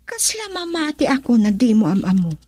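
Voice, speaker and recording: A husky Filipino mother and wife in a radio drama. Her delivery swings expressively in pitch as she questions and looks after her family. The sound is dry and close. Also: wildly swooping pitch, normal speed, warm tone, no room sound, exaggerated voice. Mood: suspicious, probing. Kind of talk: Ilocano radio drama acting.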